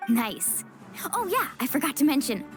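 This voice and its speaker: Young warrior woman for a game: casual, supportive, high-pitched, music underneath. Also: very animated, normal speed. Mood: upbeat. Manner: casual, encouraging, plain-spoken. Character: upbeat, confident, supportive young female warrior. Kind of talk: video game voice acting. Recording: music behind the voice.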